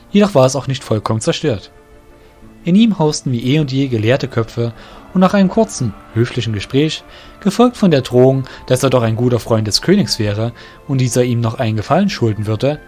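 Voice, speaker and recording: A man narrates a fantasy audio drama from a script. His voice is medium in pitch, and faint music plays behind him. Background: soft music.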